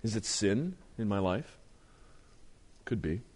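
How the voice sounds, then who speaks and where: A pastor with a very low, strained voice delivers a sermon. He speaks earnestly and builds emphasis as he reads scripture aloud. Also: very animated, slow, a little mellow, husky. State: voice strained, deeper than usual.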